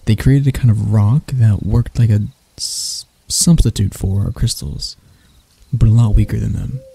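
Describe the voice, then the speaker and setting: A man playing a proud, mocking elf royal in an ASMR roleplay, speaking in a soft, breathy, husky voice with dramatic pauses. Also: fairly low pitch, steady tone, dry recording, exaggerated voice. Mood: bitter, aggrieved.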